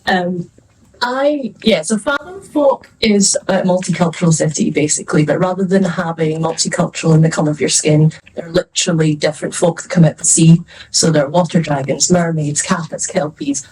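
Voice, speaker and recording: A woman's voice: fairly low pitch, a little fast, darkish tone, distant mic, a little hiss or hum, low-quality recording. A Scottish woman talking casually on a festival panel, chatty and rambling, laughing often. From Scotland. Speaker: author on a panel. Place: festival venue.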